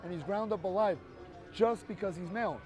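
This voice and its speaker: Street activist, male, high voice, emphatic and lecturing, outdoors with faint music behind. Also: brisk, a little mellow. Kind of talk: persuasive street conversation.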